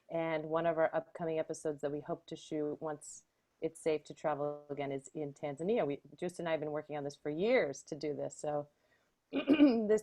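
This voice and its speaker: Woman from the United States, low-pitched voice, friendly and upbeat, video call guest. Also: warm tone. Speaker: guest, travel show host. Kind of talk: casual video call chat.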